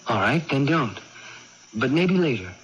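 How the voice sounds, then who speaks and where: An actor playing an earnest, patient young man, gentle and hesitant, in a 1980s radio drama. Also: medium pitch, very animated, unhurried. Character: earnest, patient young brother of a troubled woman. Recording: dull, noisy old radio broadcast.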